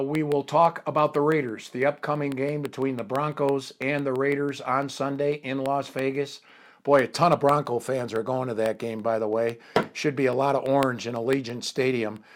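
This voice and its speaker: A man's voice: medium pitch, fairly even intonation, normal speed. A middle-aged man hosting a sports show, talking casually and interviewing a guest, with many fillers and restarts.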